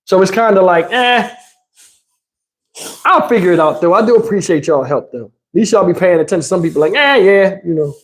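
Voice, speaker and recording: A man's voice: high voice, animated, brisk. A man talks to his livestream viewers. He rambles in loose slang and swears freely as he goes. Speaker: livestream host. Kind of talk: casual livestream chat with viewers.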